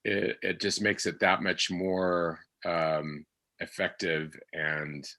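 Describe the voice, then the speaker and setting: A man in a casual discussion as an actor guest, speaking in a halting, thoughtful way. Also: deep voice, little pitch movement, bright, forceful tone.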